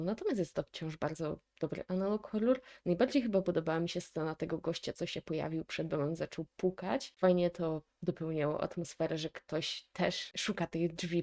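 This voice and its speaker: A woman's voice: on the low side, vocal fry, warm tone. A woman with a Polish accent giving casual reaction commentary as a video host, musing and thinking aloud.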